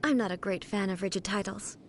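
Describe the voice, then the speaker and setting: A woman's voice: mid-range voice, very noisy, natural voice. Woman acting a composed, aloof goddess ruler. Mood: thoughtful, reserved. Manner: measured, formal, deliberate diction. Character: calm, aloof female shogun deity, composed and formal. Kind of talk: scripted video game dialogue.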